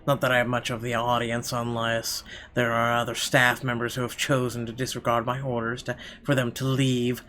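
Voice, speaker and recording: A man's voice: medium pitch, completely flat delivery, normal speed, pushed and bright, a little hiss or hum. A man reading first-person narration from a visual novel aloud in a dramatic storytelling style.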